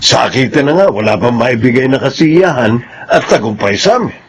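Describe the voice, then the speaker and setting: A man's voice: mid-range voice, animated, moderate pace, husky. An actor playing a stern, resentful father in a Filipino radio drama, blunt and scornful. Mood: bitter, scornful. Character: stern, resentful father, harsh on his child.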